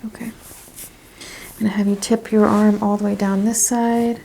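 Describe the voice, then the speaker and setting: A soft-spoken woman doing an ASMR chiropractic roleplay, giving gentle clinical questions and step-by-step instructions, often dropping to a whisper. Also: mid-range voice, little pitch movement, a little slow, gravelly, a little hiss or hum, poor audio.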